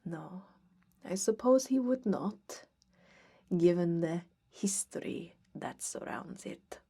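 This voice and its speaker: A woman playing a stern, haughty vampire who examines aspiring blood hunters in an ASMR roleplay, curt and imperious, with a breathy voice that drifts into whispers. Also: on the low side, lively intonation, slow pace, dark tone, voice pushed down, exaggerated voice. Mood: cool, knowing.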